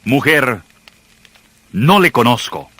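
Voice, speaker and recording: A man's voice: medium pitch, lively intonation, slow, sharp tone, slightly rough, no room sound, exaggerated voice. A man playing an agitated, defensive disciple in a dramatized audio Bible, with an emphatic, urgent delivery. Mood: defensive denial. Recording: crowd noise under music.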